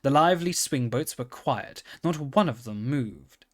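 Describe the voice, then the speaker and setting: A man reading a children's storybook aloud in a calm, steady manner. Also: medium pitch, a little slow. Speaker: reader.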